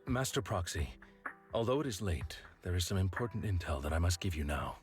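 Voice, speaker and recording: A man's voice: sits low, very animated, fairly quick, soft background music. An actor playing a stern male wolf butler in a game, formal, stiff and courteous. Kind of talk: game cutscene acting.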